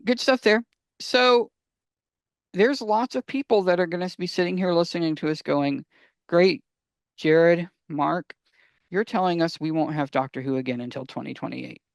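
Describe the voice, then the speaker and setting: A man's voice: fairly high pitch, normal speed, vocal fry, no room sound. A man co-hosting a casual fan discussion, speaking conversationally and addressing listeners directly. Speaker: podcast co-host.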